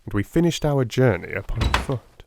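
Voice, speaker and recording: A man narrating an audiobook in a dramatic, measured, literary style. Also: medium pitch, very animated, creaky, noisy background.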